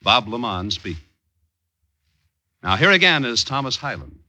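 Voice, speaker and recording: An American man reading scripted announcements in crisp, formal, emphatic 1950s radio style. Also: medium pitch, very animated, normal speed, pushed and bright.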